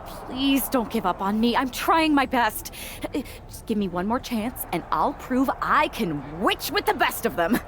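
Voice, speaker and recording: A perky young wannabe witch in a wrestling game. Her voice is high and swoops widely, with a pleading, theatrical manner. Some noise sits behind her. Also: big pitch swings, measured pace, a bit bright. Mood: eager pleading. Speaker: voice actor in a video game cutscene. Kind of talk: animated video game acting.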